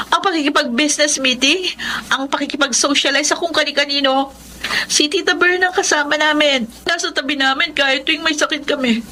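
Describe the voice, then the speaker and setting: Indignant young daughter, sharp high voice, hurt, pleading, radio melodrama, background noise. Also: female voice. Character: defiant, hurt college-age daughter. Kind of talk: melodramatic radio drama acting.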